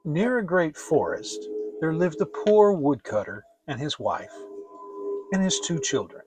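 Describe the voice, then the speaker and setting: Man reading a fairy tale, fairly high voice. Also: big pitch swings, music in the background. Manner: theatrical, deliberate storytelling. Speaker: audiobook reader. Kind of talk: dramatic fairy tale narration.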